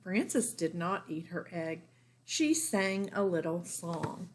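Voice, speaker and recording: Slow-paced woman reading a storybook. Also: lowish voice, lively intonation, a little hiss or hum. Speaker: teacher reading aloud to young children. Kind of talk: storybook read-aloud with casual asides.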